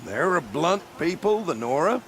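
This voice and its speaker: A man's voice: on the high side, big pitch swings, normal speed, a bit bright, a little hiss or hum. A man acting a quarrelsome, haggling market trader in a video game, full of complaint. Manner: bickering, complaining. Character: grumbling, haggling male market merchant, quarrelsome with his partner. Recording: music behind the dialogue.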